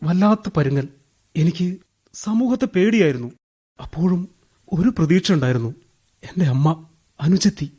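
A high, anguished male voice for a radio drama. He is a wronged former prisoner, embittered and heavy-hearted, and he tells his story haltingly with raw emotion. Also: lively intonation, dark tone, breathy edge. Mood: sorrowful. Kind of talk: radio drama acting, dramatic first-person narration.

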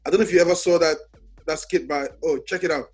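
Male co-host, high voice, laughs a lot, stammers, faint music behind. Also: fairly even intonation, fast, husky. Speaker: podcast co-host. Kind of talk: casual chat.

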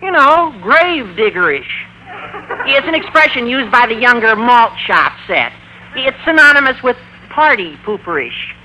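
Radio sitcom teen boy: high, sharp, eager and theatrical, swooping all over, on a noisy vintage recording. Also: big pitch swings, unhurried, full character voice. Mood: teasing, breezy. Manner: exaggerated, theatrical, slangy delivery. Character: excitable, eager teenage high school boy full of slang. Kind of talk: 1940s-50s radio comedy acting.

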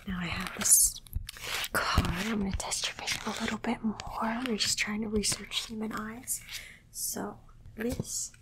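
A woman voicing a condescending female alien doctor in a soft whisper, giving matter-of-fact instructions in an ASMR exam roleplay. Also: mid-range voice, noisy background, natural voice. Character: female alien doctor, clinical, condescending, studying human captive.

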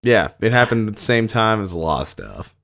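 Very deep-voiced laid-back young man. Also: very animated, brisk, creaky, fairly bright tone, no room sound. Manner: laid-back and irreverent, swearing casually. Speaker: podcast co-host. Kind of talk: casual podcast banter.

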